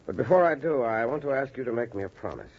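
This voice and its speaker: An American man acting an earnest, determined doctor and storyteller in a measured 1940s radio style. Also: mid-range voice, very animated, slightly rough. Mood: urgent, resolute. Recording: muffled vintage radio, music behind.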